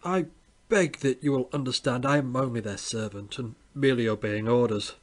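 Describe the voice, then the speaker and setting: A man voicing an uneasy company messenger who stammers and speaks quietly. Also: mid-range voice, moderate pace, voice pushed up, natural voice. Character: ill-at-ease, stammering male company visitor.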